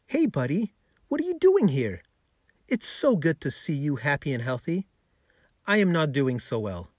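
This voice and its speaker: A man voicing a gentle, grateful homeless man down on his luck in a children's story, plain-spoken. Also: a little high, very animated, moderate pace, breathy edge, dry recording, studio quality. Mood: surprised, glad.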